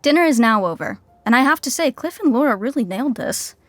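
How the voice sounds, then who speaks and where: A woman's voice: high-pitched, expressive, fast talker, noisy background. A woman giving wry scripted narration for a comedy story.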